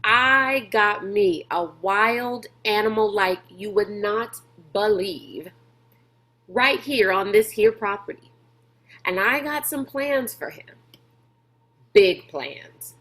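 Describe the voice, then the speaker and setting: Big brash schemer for a storybook, voiced by a woman: loud, folksy, bossy, unhurried. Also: medium pitch, expressive. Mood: sly, boastful. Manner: loud folksy drawl, hollering, pushy.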